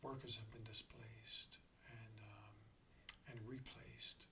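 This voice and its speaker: A slightly hoarse man hosting a reflective spiritual talk, speaking in a halting, deliberate way and often checking in with the listener. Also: fairly low pitch, flat delivery, pushed and bright, a little hiss or hum. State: slightly hoarse after a throat infection. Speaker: host of a spiritual learning channel.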